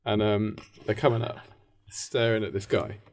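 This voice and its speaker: A man's voice: lowish voice, fairly even intonation, normal speed, dry recording. A British man co-hosting a podcast, telling a comic story in an informal, rambling way. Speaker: podcast co-host.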